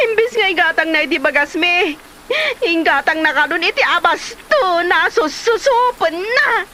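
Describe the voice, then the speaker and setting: A woman's voice: extremely high pitch, expressive, moderate pace, background noise. A woman playing an aggrieved young mother and wife in a comedy drama, with a Filipino accent and a dramatic, exclamatory delivery. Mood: tearful complaining.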